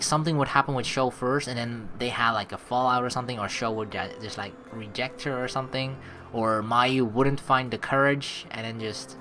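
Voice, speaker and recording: A woman doing gameplay commentary, reading story text aloud expressively and reacting to it in an animated way. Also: very deep voice, slight background noise. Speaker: let's play host reading a visual novel aloud. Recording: game music behind the voice. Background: game music.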